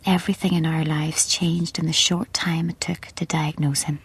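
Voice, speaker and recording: A woman's voice: low voice, little pitch movement, moderate pace, breathy edge, full character voice. An actress playing a grieving, guarded Irish woman journalist in a radio drama. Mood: wistful. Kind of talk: radio drama narration and dialogue.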